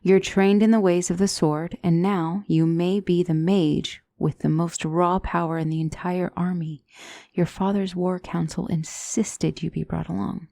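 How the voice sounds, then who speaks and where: Woman acting as a gentle demon tutor lady, lowish gravelly voice, formal and soothing, fantasy asmr. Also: fairly even intonation, dry recording. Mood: grave, matter-of-fact. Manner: formal, measured, reassuring diction. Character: gentle demonic tutor, female mentor to a young royal. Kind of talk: soft ASMR fantasy roleplay.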